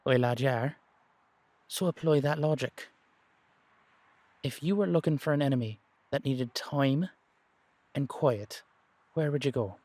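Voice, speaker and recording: A man's voice: medium pitch, a little slow, long pauses, darkish tone, no room sound, lightly acted. A man acts out an Irish warrior who has lived very long, speaking as a blunt mentor. He scolds with affection and coaxes along in an audio roleplay. The sound is dry. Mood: coaxing. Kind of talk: amateur audio roleplay drama.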